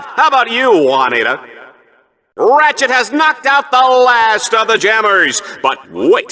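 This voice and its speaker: High, hammy, smarmy game-show sportscaster. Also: male voice, sharp tone, heavily acted. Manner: theatrical, showy announcer delivery with exaggerated emphasis. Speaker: arena show commentator. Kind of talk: over-the-top sports commentary.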